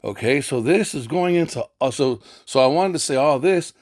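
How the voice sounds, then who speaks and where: A man's voice: mid-range voice, lively intonation, normal speed, darkish tone. An American man teaching scripture informally to viewers, blunt and profane, exhorting listeners and pressing them with rhetorical questions. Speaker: religious teacher giving a lesson.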